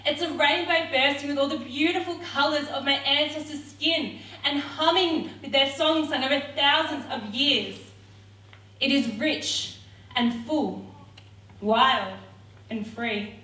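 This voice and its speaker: A woman's voice: sits high, measured pace, bright, forceful tone. A young woman performing spoken word poetry with a rhythmic, defiant delivery. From Australia. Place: theatre stage. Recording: roomy hall, mic at a distance.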